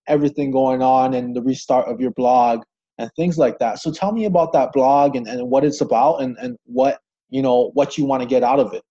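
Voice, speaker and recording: A man hosts a podcast, his voice mellow and dark in tone at a middle pitch. He interviews his guest in a loose, easygoing way, leaning on "you know" as he shapes his questions. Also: fairly quick, breathy edge, muffled recording. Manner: friendly, loose, many "you know" fillers. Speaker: podcast host.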